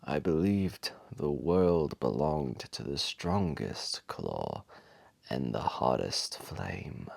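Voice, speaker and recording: A man voicing an ancient, wise and weary dragon, telling a story intimately and grandly in a deep, breathy, gravelly voice with dramatic pauses. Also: big pitch swings, dry recording, full character voice. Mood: proud, remembering. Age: old. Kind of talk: slow intimate storytelling audio roleplay.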